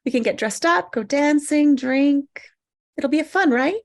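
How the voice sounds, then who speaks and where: Woman playing a high-voiced, awkward single woman. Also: a little slow. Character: awkward, defiant, hapless single woman in a comedy. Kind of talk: comedy screenplay table read.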